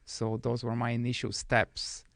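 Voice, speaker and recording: A man in his thirties, originally from Bulgaria, speaking as a guest trader in a studio podcast interview with a soft, measured manner. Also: medium pitch, completely flat delivery, no echo.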